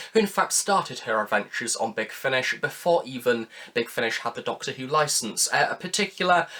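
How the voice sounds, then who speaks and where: A man's voice: medium pitch, bright, forceful tone. A man hosting a review video, talking through recommendations in a conversational narration.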